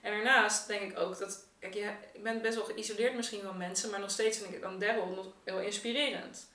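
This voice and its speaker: A woman's voice: medium pitch, fairly even intonation, fairly quick, pushed and bright. Woman, podcast guest. Thinks aloud, conversational. Roomy, distant pickup. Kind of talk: casual podcast discussion. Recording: echoey room, off the mic.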